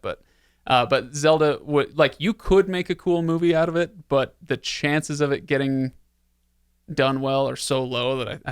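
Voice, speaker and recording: A man hosts a casual podcast conversation in a mid-pitched voice with noticeable creak. He jokes and pokes fun at himself. The sound is dry, with very little room in it. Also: dry recording. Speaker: podcast host. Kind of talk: casual chat.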